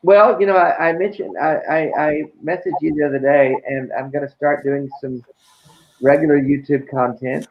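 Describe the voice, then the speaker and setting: A man with a raspy voice, chatting casually as a guest. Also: a little high, steady tone, normal speed, dull audio. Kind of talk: casual chat.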